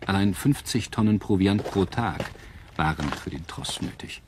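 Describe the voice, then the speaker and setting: A man narrating a scripted history documentary in a measured, dramatic storytelling manner. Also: deep voice, lively intonation, background noise. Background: prominent music.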